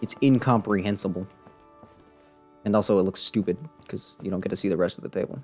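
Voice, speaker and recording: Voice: low-pitched, fairly even intonation, fast talker, darkish tone, faint music behind. A game streamer giving casual live commentary in a playful, dryly sarcastic way.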